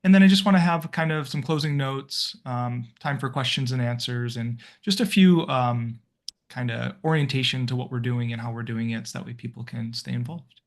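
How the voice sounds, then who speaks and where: A man's voice: medium pitch, moderate pace. A man hosting a volunteer video call as coordinator, talking in a friendly, rambling way with many fillers and restarts. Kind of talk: casual video-call welcome.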